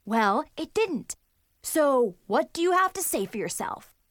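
Woman playing an exasperated, high-voiced big sister. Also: big pitch swings, unhurried, no echo, clean studio sound. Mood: stern, irritated. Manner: bossy, emphatic scolding. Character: exasperated, scolding older sister, a schoolgirl.

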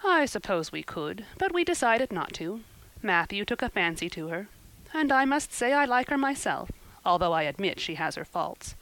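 Lady doing a firm no-nonsense guardian woman from a storybook, dry delivery, fair, medium pitch. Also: big pitch swings, moderate pace, fairly bright tone, rough recording. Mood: frank.

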